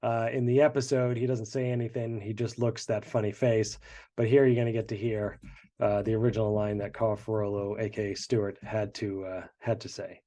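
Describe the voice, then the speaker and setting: A man's voice: fairly low pitch, little pitch movement, brisk, dark tone, slight background noise. A congested man, the show's creator, chatting through behind-the-scenes commentary with many fillers. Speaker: show creator.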